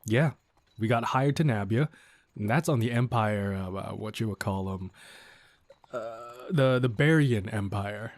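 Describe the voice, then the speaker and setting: A cocky, easygoing bandit leader, played by a man for an audio roleplay. His voice sits fairly low and is recorded dry, with no room sound. He teases with mock courtesy in a casual, folksy way, a rogue who is considerate underneath. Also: measured pace, dry recording. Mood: wry, conspiratorial. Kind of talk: audio roleplay acting addressed to the listener.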